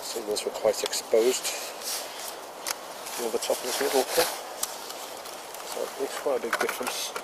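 A British man in his forties talks through his camp lunch in an easy, step-by-step way, his pitch sitting right in the middle for a man. He is recorded outdoors on a camera mic, with steady outdoor noise clearly heard around him. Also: moderate pace, long pauses. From United Kingdom. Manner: chatty step-by-step explaining. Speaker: outdoor vlogger. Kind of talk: casual outdoor cooking vlog. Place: woodland picnic table outdoors. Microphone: camera mic, handheld then on a tripod. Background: open outdoor air in woodland.

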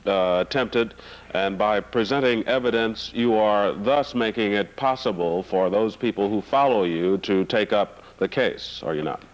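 Halting male reporter, muffled sound. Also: fairly low pitch. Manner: halting, formal. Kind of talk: press conference questioning. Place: press conference room. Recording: muffled 1970s newsreel sound.

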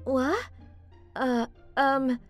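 A woman's voice: high-pitched, steady tone, extremely slow, no room sound, soft background music. A woman playing a sweet, caring and easily flustered teenage schoolgirl in a manga dub, cutesy and stammering. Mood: startled. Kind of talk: manga dub voice acting, scripted.

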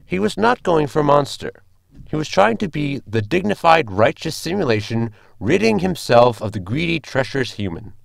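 A man's voice: fairly high pitch, big pitch swings, normal speed, gravelly, no room sound, exaggerated voice. An actor playing a polite, dryly logical male android crewman in a formal, precise manner for a sci-fi comedy audio drama. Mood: explaining, dry.

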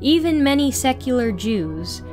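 A young woman reading a written article aloud as narration, in a deliberate, formal manner. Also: sits high, very animated, a little mellow. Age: young adult. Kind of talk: scripted read-aloud narration. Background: music.

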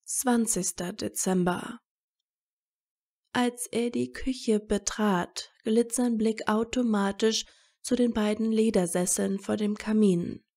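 A woman reads a story as narrator at a slow pace. Her voice is mellow and medium in pitch, with plenty of creak. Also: fairly even intonation.

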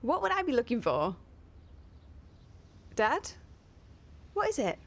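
An actress playing a cheeky, sardonic young adult daughter in a radio soap. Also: female voice, on the high side, wildly swooping pitch, slow pace, full character voice. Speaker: actor.

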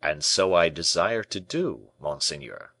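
A man reading a storybook part as a courtly, devoted conspirator, formal, deferential and persuasive in measured rhetoric. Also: low voice, expressive, sharp tone, no room sound, full character voice. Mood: smooth, assured.

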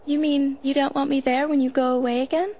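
An actress playing a gentle, caring, hopeful young woman in a video game, speaking softly and earnestly. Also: high voice, little pitch movement, a little fast, close to an everyday voice. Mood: concerned. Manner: soft, earnest, reassuring. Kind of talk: video game cutscene acting.

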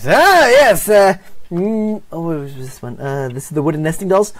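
A man's voice: lively intonation, normal speed, lightly acted. Man doing a pushy Russian-accented toymaker. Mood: salesy. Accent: mock Russian. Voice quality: broken grammar, sing-song sales pitch. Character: shabby Russian-accented male toymaker shopkeeper, pushy salesman. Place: home room on a video call.

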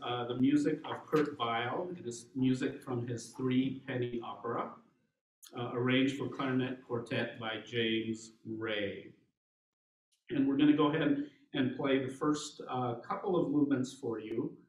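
Middle-aged guy, clarinet teacher intro, kinda halting, picked up from across a big echoey room. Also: mid-range voice, far from the mic. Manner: relaxed, halting, with frequent restarts and small laughs. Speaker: clarinet professor leading a masterclass. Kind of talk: informal spoken introduction. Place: large recital room, indoors. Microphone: far camera mic.

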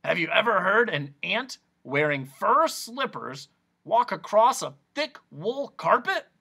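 Hoarse, croaking, boisterous eccentric man. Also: medium pitch, sing-song, slow pace, voice pushed up, exaggerated voice. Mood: gleeful, insistent. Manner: loud, insistent, gleeful, badgering. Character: raspy, croaking, eccentric, boisterous male doctor of noise. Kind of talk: children's audiobook character voice.

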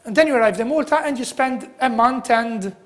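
A man's voice: very high-pitched, brisk. A man in his thirties with a Maltese accent, hosting a TV current affairs show in a studio, switching between Maltese and simple English and repeating his guest's answers. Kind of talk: studio interview.

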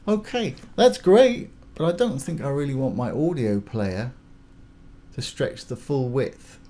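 Guy with a relaxed dark voice walking thru software steps, some background noise and typing. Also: medium pitch, unhurried. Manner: informal, spells out terms, talks through each action. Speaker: tutorial host. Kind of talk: casual step-by-step software tutorial.

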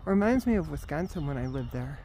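A woman's voice: deep voice, very animated, brisk, mellow, a little hiss or hum. A woman walking an outdoor wooded trail and filming with a handheld phone, talking casually and exclaiming often, out of breath from the climb. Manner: chatty, exclaims often, laughs. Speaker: vlogger.

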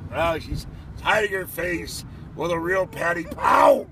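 A man's voice: fairly high pitch, measured pace. Chatty man rambling in a car. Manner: chatty, joking, teasing, laughs often. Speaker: vlog host. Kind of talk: rambling casual car vlog. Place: inside a car on a traffic-jammed bridge. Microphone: phone camera held in the car. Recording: loud road noise from traffic.

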